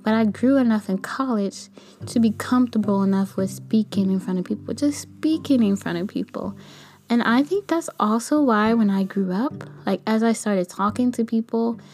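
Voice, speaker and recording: A young woman with a light, soft voice talking casually in a rambling, candid personal podcast monologue. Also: a little high, normal speed. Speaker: podcast host; kindergarten teacher.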